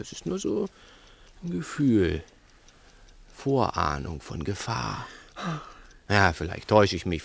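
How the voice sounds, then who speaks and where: An actor in a 1960s German radio play playing a cunning, domineering crook who is menacing, insistent and patronising. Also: male voice, fairly low pitch, vocal fry, full character voice. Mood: coaxing, brooding. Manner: insistent, theatrical, probing with rhetorical questions.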